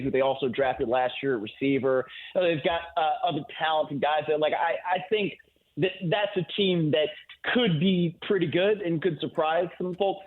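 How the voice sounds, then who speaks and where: A man giving off-the-cuff football analysis as a guest on a sports show, explaining at length in a conversational way and often checking in with "right?". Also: fairly high pitch. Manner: conversational, long explanatory answers peppered with "you know" and "right?". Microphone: phone or remote call-in line. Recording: thin, narrow phone-line sound.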